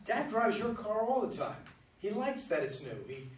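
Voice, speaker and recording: A man's voice: high voice, very animated, moderate pace. A man acting a wry, needling know-it-all son in his twenties in a staged comedy reading. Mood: amused. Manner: dry, needling. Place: echoey room, mic away from performers. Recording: roomy distant stage recording, faint noise.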